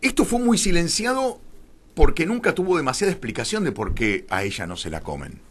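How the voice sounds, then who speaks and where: A man's voice: on the high side, big pitch swings, a little fast, husky. A man with a Rioplatense Argentine accent, a radio guest explaining a theory in an emphatic, digressive, conversational way, often correcting himself.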